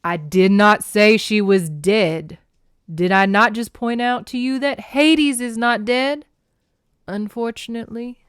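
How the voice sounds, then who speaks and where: A woman voicing a blunt, scornful male sea god with forceful, matter-of-fact delivery in a story reading. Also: on the high side, slow, smooth, no echo, clean studio sound. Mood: impatient.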